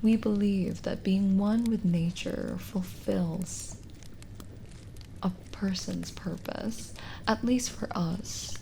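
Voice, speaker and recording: A woman playing a lonely, kindly witch in an intimate ASMR roleplay, speaking softly and breathily with a gentle teasing manner, often near a whisper. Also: medium pitch, vocal fry, a little hiss or hum, poor audio. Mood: earnest, wistful. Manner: slow, hesitant, gently teasing.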